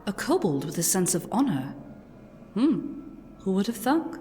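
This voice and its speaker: An actress playing a haughty, sinister dark elf zealot with a cool, condescending, theatrical manner in a fantasy audio drama. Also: female voice, medium pitch, very animated, measured pace, a little hiss or hum. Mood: dry, sardonic. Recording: long reverb, music underneath.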